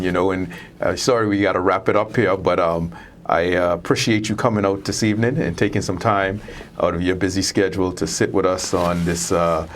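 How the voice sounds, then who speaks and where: A man hosting an interview in a casual, agreeable, informal way. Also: low-pitched. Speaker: interview show host. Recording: clear background noise.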